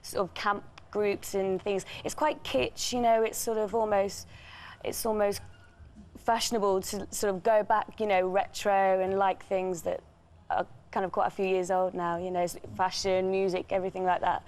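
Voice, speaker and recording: A woman's voice: medium pitch, level tone, normal speed, a little mellow. A young woman in a TV studio interview, chatty and bubbly, with lots of fillers. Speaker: tribute band singer. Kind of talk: live TV interview guest. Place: TV news studio.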